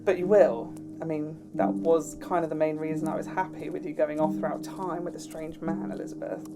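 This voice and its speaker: A woman's voice: deep voice, steady tone, brisk, music in the background, close to an everyday voice. A woman playing a teasing, affectionate young friend on a phone call in a fan-made audio drama, chatty and joking. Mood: delighted, caring.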